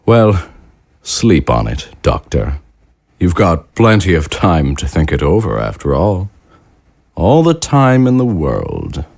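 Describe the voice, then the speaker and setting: A man in an audio drama playing a cold, calculating wartime leader who speaks in a measured, formal, quietly menacing way. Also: deep voice, huge pitch swings, vocal fry, no room sound, clean studio sound, heavily acted. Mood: calm, threatening.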